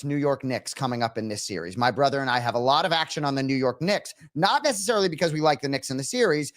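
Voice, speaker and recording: A man talks sports in a casual, rambling way, and his slight head cold leaves him a little nasal. His pitch sits a touch high and swings widely as he keeps checking in with his co-host. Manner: rambling, animated, peppered with "right?". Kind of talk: casual sports radio chat.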